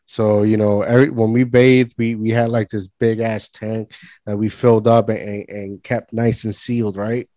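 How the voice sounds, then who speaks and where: A man's voice: fairly low pitch, flat delivery, moderate pace, mellow, no echo. A man in his thirties from Puerto Rico chatting informally, repeating words as he goes. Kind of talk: casual conversation.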